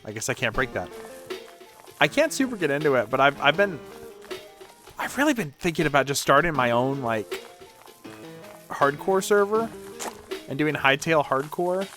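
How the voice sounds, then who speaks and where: A man with a bright, forceful voice chats casually as he streams a game. His pitch sits a little high and moves around a lot. He rambles, cuts himself off and swears mildly. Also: big pitch swings, normal speed, pauses often, faint music behind. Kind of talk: casual livestream gameplay chat.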